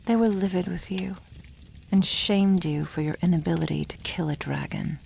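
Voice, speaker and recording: A woman voicing a protective, tender female dragon in a soft, intimate ASMR roleplay, with formal wording and a hushed, often half-whispered delivery. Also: fairly low pitch, fairly even intonation, normal speed, gravelly, a little hiss or hum. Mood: gentle, earnest.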